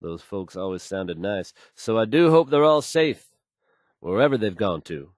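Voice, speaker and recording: A man's voice: on the low side, big pitch swings, a little slow, no echo, clean studio sound. An actor playing a confident, easygoing radio newsman in a video game, suave and wry, with a polished, folksy delivery. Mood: wry, assured. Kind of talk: smooth scripted radio news delivery, video game voice acting.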